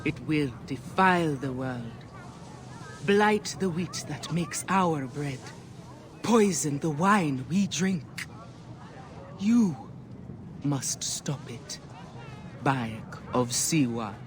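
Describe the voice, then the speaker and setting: A man's voice: a little high, expressive, very slow, long pauses. A man playing an ominous, mystical ancient seer in a video game, speaking in portentous, incantatory phrases. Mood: foreboding. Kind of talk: dramatic video game acting. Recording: heavy background noise, outdoor ambience.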